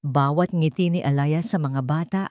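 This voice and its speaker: A Filipino woman narrates a scripted romantic drama. Her voice sits a little low for a woman. The recording is dry, with very little room sound. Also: normal speed, a little mellow, no room sound. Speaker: story narrator.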